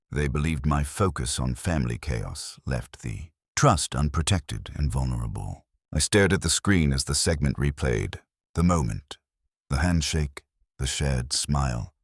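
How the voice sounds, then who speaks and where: A man with an extremely low voice narrates a first-person drama in measured phrases. His pitch moves in lively swings, and the sound is dry and close. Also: no room sound.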